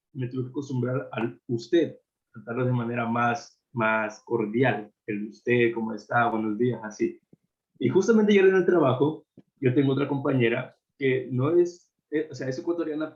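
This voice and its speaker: A man's voice: mid-range voice, dull audio. A man from Italy, a student, telling a workplace anecdote hesitantly, with restarts.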